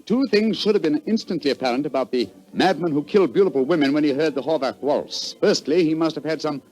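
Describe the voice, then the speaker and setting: A male actor playing a courteous, commanding detective with an English accent and crisp formal delivery in 1940s radio drama style. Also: on the high side, fast talker, darkish tone, faint music behind, close to an everyday voice, low-quality recording. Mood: reflective, explanatory. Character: brisk, courteous, commanding male detective, incisive and self-assured.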